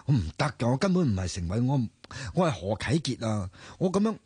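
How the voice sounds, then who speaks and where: A man's voice: medium pitch, big pitch swings, quick pace, a little mellow, husky, no room sound. A man with a Hong Kong Cantonese accent, playing a hesitant young man who protests against posing as someone else in a radio drama. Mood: uneasy, defensive.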